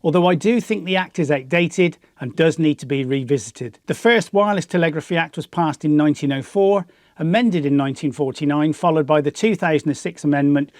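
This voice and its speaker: A man's voice: fairly high pitch, a little fast, a little mellow, no room sound, clean studio sound. A man with a British accent presenting a scripted explanatory piece as the host of a hobby channel. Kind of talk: scripted explanatory narration to viewers.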